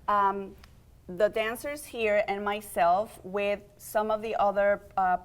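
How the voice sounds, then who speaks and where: A woman's voice: medium pitch, steady tone, takes it slowly, smooth. A young adult woman hosting a community TV interview, friendly and upbeat, guiding her guests with questions. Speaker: host and program organizer.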